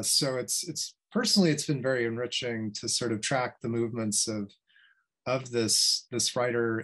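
A man in his fifties giving an informal academic talk, speaking in a reflective, halting way with many pauses. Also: mid-range voice, fairly bright tone. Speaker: academic researcher, guest speaker. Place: small home study with bookshelves, video call. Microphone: computer mic on video call.

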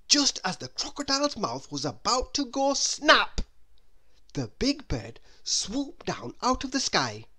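A woman narrates a children's story in a lowish voice with sweeping intonation. She takes her time, reading very slowly and stretching out phrase endings expressively. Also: fairly bright tone, slightly rough. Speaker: storybook reader. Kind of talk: children's read-aloud narration.

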